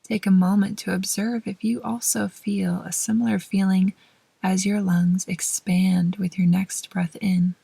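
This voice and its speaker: A woman gently leading a guided meditation in a soft, breathy voice that often trails toward a whisper, with measured pauses between short phrases. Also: medium pitch, level tone, dry recording. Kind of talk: slow, soothing guided meditation narration.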